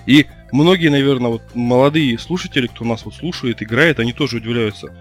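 A man co-hosting a casual podcast, telling stories in a fast, animated way. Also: medium pitch, soft background music. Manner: fast, animated, rising into excited retelling. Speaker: podcast co-host. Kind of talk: casual storytelling chat.

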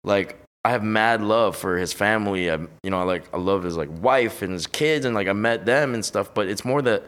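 A man's voice: on the low side, brisk, hardly any pauses, a bit bright. A young man from Los Angeles, a podcast guest in casual interview chat, who talks in a slangy, profane, rambling way with lots of fillers. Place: hot room on a high floor.

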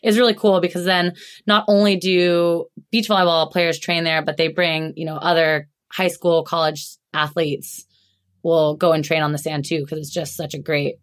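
A woman with a slightly low-pitched voice chats as a podcast guest. She rambles along easily, scattering "like"s through her sentences. She grew up in Alaska and speaks in a relaxed, conversational way. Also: moderate pace. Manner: chatty and rambling, many "like"s. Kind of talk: casual interview chat.

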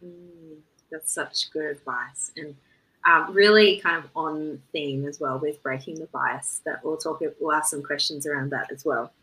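A woman's voice: low voice, unhurried, pushed and bright, poor audio. A woman hosting a podcast interview, introducing her guest and asking questions in a chatty, loosely phrased way. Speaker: podcast host.

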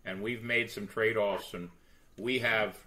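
A man's voice: on the low side, fairly even intonation, unhurried, pushed and bright. A man hosting a podcast, chatting casually and explaining points step by step. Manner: explanatory, talks about having a big voice. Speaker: podcast host.

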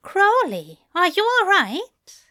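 A woman reading a story aloud and voicing a gentle, caring man who speaks softly and reassuringly. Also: extremely high pitch, huge pitch swings, slow pace, dry recording, clean studio sound, voice pushed up, exaggerated voice. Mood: tender. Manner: soft, tender, reassuring. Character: gentle, fussy, kindly man, soothing and caring. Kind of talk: audiobook narration of fan fiction.

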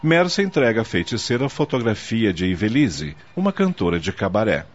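Low-voiced, dramatic male narrator. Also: very animated, a little fast. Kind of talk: scripted dramatic radio soap narration. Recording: dull noisy audio with ambience.

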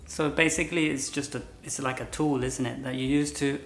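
Low-voiced British woman in echoey room. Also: fairly even intonation, a little fast, soft background music. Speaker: English teacher. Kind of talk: casual explanatory conversation.

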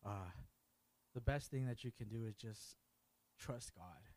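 A man's voice: fairly low pitch, unhurried, a little mellow. A man earnestly sharing his personal testimony as a guest on a church podcast. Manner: earnest, reflective. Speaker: interview guest.

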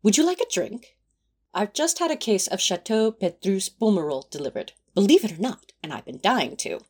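A woman's voice: medium pitch, very animated, normal speed, a little mellow, breathy edge. A woman playing a prim, fussy male angel, genteel and mildly put-upon, in a fan audio drama. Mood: innocent, genteel.

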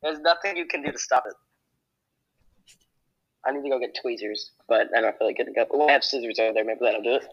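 A man's voice: medium pitch, quick pace, pauses often, fairly bright tone. A young man chatting on a casual podcast, boastful and joking as he rambles. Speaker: podcast guest. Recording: dull lo-fi sound.

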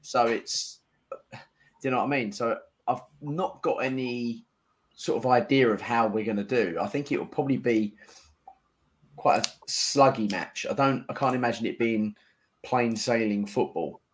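A man's voice: medium pitch, moderate pace. A man co-hosting a football podcast, talking informally as a fan in casual conversation. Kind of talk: casual chat among fans.

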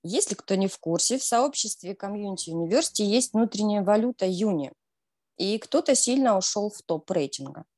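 A woman's voice: medium pitch, animated, a little fast, a little mellow. A woman co-hosting a radio show who runs a quiz game in a teasing, upbeat way. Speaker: radio co-host, quiz presenter.

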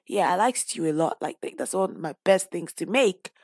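A woman hosting her own podcast with a hoarse, worn voice, talking casually and openly with playful asides. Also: on the low side, expressive, moderate pace, darkish tone, dry recording, studio quality. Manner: chatty and open, with playful asides. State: lost voice, hoarse. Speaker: podcast host; teacher. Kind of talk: casual personal podcast talk.

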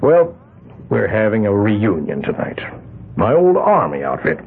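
An evasive island patriarch. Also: male voice, fairly low pitch, huge pitch swings, measured pace, slightly rough, full character voice. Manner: folksy, evasive, hesitant. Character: smooth, evasive island patriarch, middle-aged man. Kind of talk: 1970s radio drama acting. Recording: tinny, lo-fi old radio broadcast.

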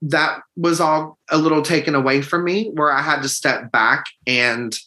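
A man's voice: fairly high pitch, moderate pace, pushed and bright. A man with a feminine-sounding voice, speaking as an interview guest in an open, earnest way. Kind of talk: conversational interview.

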